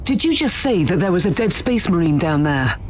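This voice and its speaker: A woman's voice: lowish voice, big pitch swings, a little fast, noisy background. A woman voicing a wry, sarcastic starship captain in a video game, speaking expressively. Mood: incredulous. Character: wry, sarcastic starship captain, loyal but self-interested.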